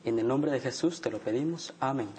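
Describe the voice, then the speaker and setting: A young man from Chiapas, Mexico, a theology student who prays and reads scripture slowly and carefully. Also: mid-range voice, a little mellow, slight background noise.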